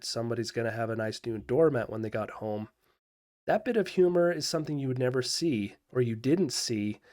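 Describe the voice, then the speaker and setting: Man, history video host, mid-pitched voice, unscripted explanatory commentary, measured and halting, pausing mid-thought. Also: a little mellow.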